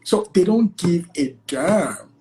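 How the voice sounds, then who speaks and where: A young man with a thick Nigerian accent, a lawyer talking as an interview guest on a video call, animated and full of fillers. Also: high voice, slow pace. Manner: animated, chatty, many fillers like "like" and "you know". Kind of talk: casual interview conversation.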